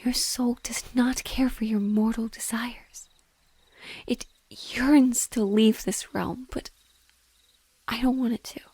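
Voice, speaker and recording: A mournful banshee, played by a woman, speaks in a soft, breathy whisper on the high side. Her delivery is very slow and tender, full of sorrowful devotion. It is an intimate audio roleplay performance. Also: fairly even intonation, exaggerated voice. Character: sorrowful female banshee, gentle and lovingly devoted.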